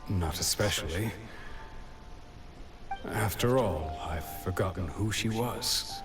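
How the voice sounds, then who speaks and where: A man's voice: low voice, takes it slowly, far from the mic. A man voicing a wistful older villager in a video game, reflective and subdued in manner. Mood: melancholy. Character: wistful older male villager who lost his memories. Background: music.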